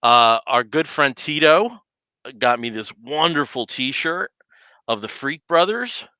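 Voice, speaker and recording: A man's voice: mid-range voice, lively intonation, takes it slowly, sharp tone, clean tone, no echo. A middle-aged man hosting a podcast in casual chat, rambling with lots of fillers. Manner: chatty, rambling with many fillers. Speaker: podcast host.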